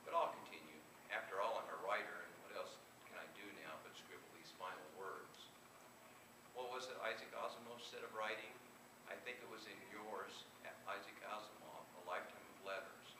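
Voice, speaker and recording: Guy reading a horror story out loud live, low voice, far from the mic, noisy recording. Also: a little fast. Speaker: author reading his work aloud. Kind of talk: live reading of first-person horror fiction. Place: indoor room at a convention reading. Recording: roomy, distant live recording with clear noise.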